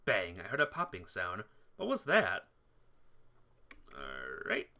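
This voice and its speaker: A man's voice: low voice, huge pitch swings, slow pace, pushed and bright, slight background noise. A man playing a visual novel on stream, commenting casually and reading the game's text aloud, sometimes stumbling and correcting himself. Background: game music.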